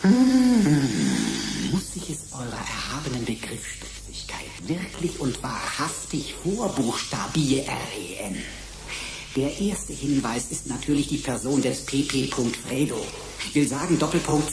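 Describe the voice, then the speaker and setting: A man's voice: mid-range voice, sing-song, measured pace, vocal fry, reverberant, noisy background. A man playing a sarcastic computer sidekick who talks in pompous mock-courtly flourishes in a German sci-fi radio play. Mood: pompous, lecturing. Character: mocking, pompous robotic computer sidekick of a detective.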